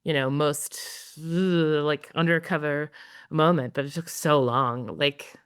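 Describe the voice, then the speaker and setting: A woman in her thirties, a journalist guest talking fast and wryly with lots of fillers in a casual interview. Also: low-pitched, fairly even intonation, clear, resonant tone, no echo, clean studio sound. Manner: fast, filler-heavy, wry.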